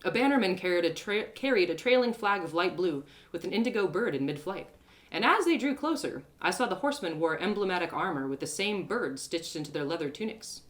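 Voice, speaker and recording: A woman storyteller giving an expressive first-person narration of a fantasy adventure in a live reading. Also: fairly low pitch, brisk. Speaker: storyteller, one-woman audio drama performer.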